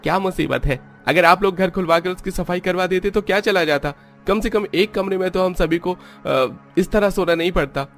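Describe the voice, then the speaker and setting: A man's voice: high-pitched, normal speed, hardly any pauses, fairly bright tone, faint music behind, pitched above the performer's own voice. Man voicing a grumbling, spoiled young city man. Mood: irritated. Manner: complaining, exasperated.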